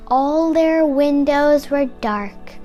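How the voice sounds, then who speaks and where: A woman reading a children's story aloud in an expressive, dramatic way with drawn-out emphasis. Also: high voice, soft background music.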